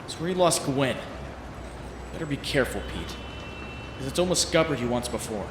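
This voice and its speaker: A man's voice: mid-range voice, expressive, brisk, close to an everyday voice. A man playing a young male superhero in a comic book dub, anguished and frantic, with a brooding, self-mocking monologue that bursts into urgency. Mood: bitter, brooding. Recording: roomy reverberant voice, faint noise.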